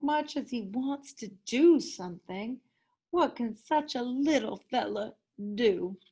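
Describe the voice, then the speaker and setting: Huge male storybook whale, acted by a woman, big-hearted, helpless, halting, heartfelt, unhurried, fairly high-pitched. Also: expressive, dark tone, husky, exaggerated voice. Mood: resigned.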